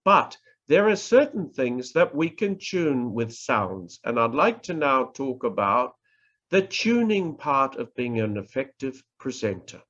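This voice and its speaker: A middle-aged man with a New Zealand accent, teaching in a deliberate, emphatic way with pauses and repetition over a video call. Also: medium pitch, very animated, fairly bright tone. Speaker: professor teaching public speaking. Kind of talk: instructional online lecture. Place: indoors, online presentation. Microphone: video call microphone. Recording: muffled lo-fi video-call audio.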